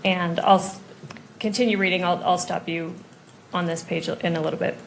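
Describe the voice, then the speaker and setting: Even-toned woman directing a hearing. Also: fairly low pitch, normal speed, creaky, slight background noise, poor audio. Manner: polite, directing. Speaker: attorney questioning a witness. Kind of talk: procedural courtroom instructions.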